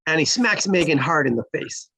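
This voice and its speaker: A narrator reads out screenplay action lines. The voice is gravelly and rough-edged, recorded close and dry. The delivery is plain scripted narration. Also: medium pitch, lively intonation, normal speed, pushed and bright, no echo. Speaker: stage-direction reader.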